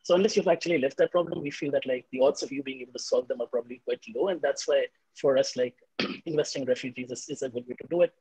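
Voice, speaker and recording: A man's voice: medium pitch, a little mellow, breathy edge, dry recording, rough recording. A man speaking as an investor on a panel, with many fillers. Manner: fast, filler-heavy. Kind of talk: panel discussion.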